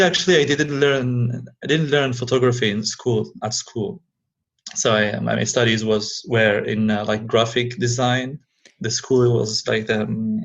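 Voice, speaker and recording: A man from Algeria, a guest in a casual interview over a remote call, speaking English haltingly and searching for words. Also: mid-range voice, gravelly, muffled recording.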